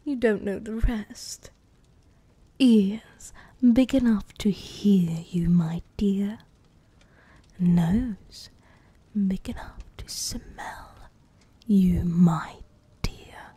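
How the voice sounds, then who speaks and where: A woman's voice: medium pitch, mellow, no echo, exaggerated voice. A British woman voicing a sadistic, possessive female werewolf in a teasing, menacing, whispered ASMR roleplay. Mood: teasing menace. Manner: slow, teasing, menacing, breathy murmur. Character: sadistic, possessive female werewolf-witch hybrid toying with prey.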